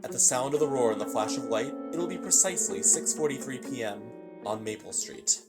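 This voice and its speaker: A male actor gives solemn scripted narration for a radio drama. The voice sits at an ordinary male pitch with an ominous weight. Loud music plays behind it. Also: lively intonation, brisk, natural voice.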